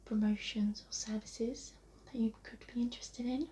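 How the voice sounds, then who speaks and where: A woman with an English accent speaking softly and breathily, often near a whisper, in a polite, reassuring, unhurried ASMR receptionist roleplay. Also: a little high, steady tone. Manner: polite, reassuring, unhurried customer-service talk. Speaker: ASMR host playing a receptionist. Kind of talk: soft-spoken ASMR roleplay. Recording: lo-fi, muffled, noticeable background hiss.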